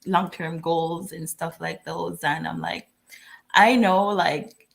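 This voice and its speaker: A woman's voice: lowish voice, fairly even intonation, slow pace, gravelly. A woman from Jamaica, a guest on a video call interview, chatty and laughing often.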